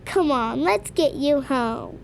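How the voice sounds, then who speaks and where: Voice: high-pitched, animated, takes it slowly, gravelly, a little hiss or hum, heavily acted. An actor playing a cocky, sarcastic, precocious six-year-old boy in an audio story. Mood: teasing. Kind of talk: scripted audio fiction acting.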